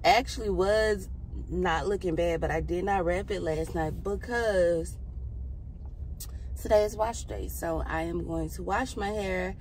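A woman's voice: fairly low pitch, a little slow. Chatty woman vlogging in her car. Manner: chatty, animated, laughs often, talks to herself. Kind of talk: casual car chat to camera. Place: parked car, inside. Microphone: phone camera on dashboard. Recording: car cabin with outside noise.

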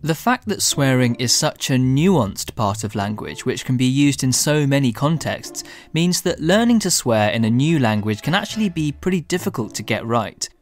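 A man's voice: mid-range voice, big pitch swings, normal speed, few pauses, soft background music. Man presenting a radio science show. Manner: conversational, laughs easily.

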